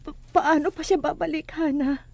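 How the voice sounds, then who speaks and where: A despairing, soft-toned abandoned wife. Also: female voice, high-pitched, fairly even intonation, moderate pace, gravelly, dry recording. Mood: bitter, distraught. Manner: plaintive, hesitant, emotional. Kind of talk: religious radio drama acting.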